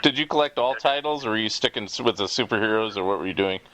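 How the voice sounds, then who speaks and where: A man hosting a casual interview, asking halting questions and murmuring along as he listens, on a noisy connection. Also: fairly low pitch. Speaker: podcast host, interviewer. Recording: clear noise, clicks, call audio.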